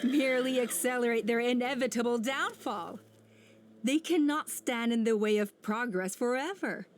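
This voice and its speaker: An actress playing a cold, scheming woman who seized power in her tribe, speaking calmly, menacingly and condescendingly. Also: a little high, normal speed, a little hiss or hum, natural voice. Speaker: villain. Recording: game voice over music.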